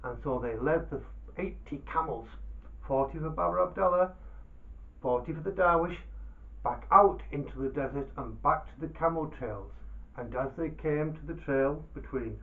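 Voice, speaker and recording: A man telling a tale aloud as a storyteller, dramatic and deliberate, pausing between short phrases. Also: fairly high pitch, vocal fry, narrow, tinny audio.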